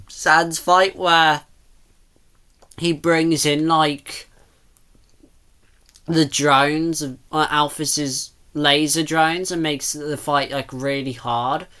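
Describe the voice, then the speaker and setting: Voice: mid-range voice, fairly even intonation, slow, pauses often, a bit bright, clear, resonant tone. A person giving casual, rambling reaction commentary, thinking aloud and speculating as the host.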